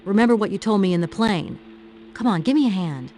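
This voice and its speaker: A woman's voice: mid-range voice, animated, brisk, dark tone, close to an everyday voice. Determined female adventurer, played by an actress, music behind. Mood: resolute. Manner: firm, self-assured. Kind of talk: video game cutscene acting.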